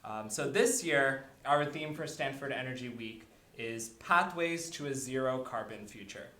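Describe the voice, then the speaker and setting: A man's voice: mid-range voice, measured pace, bright, forceful tone. A young man from a student club opening an event with welcome remarks, with many fillers as he lists the schedule. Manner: filler-heavy, listing events. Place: lecture hall. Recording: roomy hall sound.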